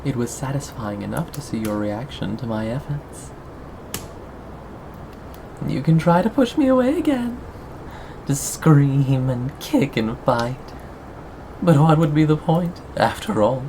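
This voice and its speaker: A performer in an intimate ASMR roleplay voicing a possessive, sweetly menacing captor, coaxing and teasing with pauses and sighs. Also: mid-range voice, expressive, warm tone, slight background noise, low-quality recording. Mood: wounded, condescending.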